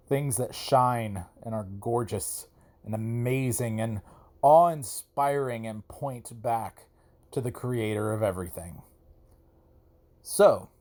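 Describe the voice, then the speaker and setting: A man's voice: mid-range voice, a little mellow. A man talking casually and emphatically as a podcast host, speaking on his own and repeating words for effect. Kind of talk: casual solo podcast monologue.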